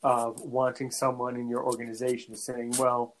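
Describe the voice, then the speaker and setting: A man from North America hosting a podcast interview, speaking in a halting way and often repeating words before finishing a thought. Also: medium pitch, level tone, slight background noise, low-quality recording. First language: English; French is his second language. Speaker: podcast host. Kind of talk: conversational interview.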